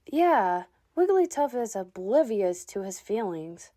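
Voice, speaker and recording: A woman's voice: mid-range voice, very animated, slow, mellow. A woman playing a young fox Pokemon in a comic dub, a sceptical, easily flustered trainee explorer with a dry, questioning manner. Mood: sceptical, puzzled. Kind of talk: animated comic dub acting.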